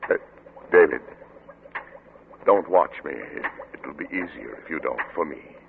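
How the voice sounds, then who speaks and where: Deep, grave doctor. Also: male voice, lively intonation, creaky, slight background noise, full character voice. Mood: tense, controlled. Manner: grave, deliberate, formal diction. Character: troubled middle-aged male doctor and father, obsessive and grave. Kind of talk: 1940s radio drama acting with first-person narration. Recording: muffled old radio recording with music.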